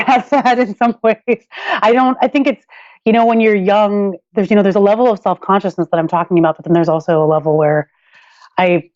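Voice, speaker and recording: A woman's voice: on the low side, a little mellow, no room sound, lo-fi sound. A woman in her forties, a guest author, telling personal stories candidly and thinking aloud, with frequent restarts and light laughter. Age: 40s. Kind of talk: reflective personal storytelling.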